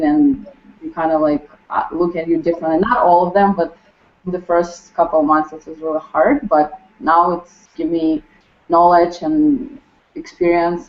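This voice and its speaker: A woman in her twenties with a Ukrainian accent, sharing casually about herself in halting, non-native English. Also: on the low side. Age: 20s. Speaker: course participant introducing herself. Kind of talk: casual personal sharing in a group. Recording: muffled lo-fi, roomy echo.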